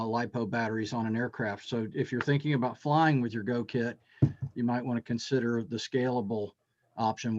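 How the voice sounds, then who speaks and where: A man's voice: medium pitch, darkish tone. A man offering casual advice in a hesitant way, repeating words, on an online video call. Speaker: workshop participant. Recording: slightly dull video-call audio.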